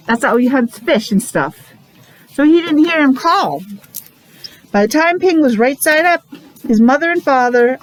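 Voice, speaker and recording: A woman, a teacher reading a picture book aloud to young children, pausing to explain and ask questions in simple words. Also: a little high, poor audio. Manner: child-directed, asking questions, repeating words for emphasis. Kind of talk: animated read-aloud with casual explanatory asides.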